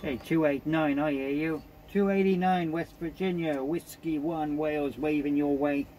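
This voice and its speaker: A man's voice: fairly high pitch, fairly even intonation, normal speed. A British man working as a CB radio operator, calling out contacts and repeating callsigns and phrases. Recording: CB radio static and noise.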